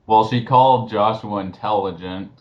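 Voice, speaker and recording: A man's voice: fairly low pitch, normal speed. A man chatting casually and mockingly as a co-commentator, snide and blunt, on a lo-fi voice-chat recording.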